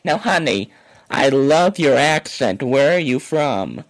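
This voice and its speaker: A man's voice: mid-range voice, very animated, slow pace. A man putting on a crude, brash middle-aged woman prank caller who drawls and calls everyone honey. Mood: teasing. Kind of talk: prank call comedy.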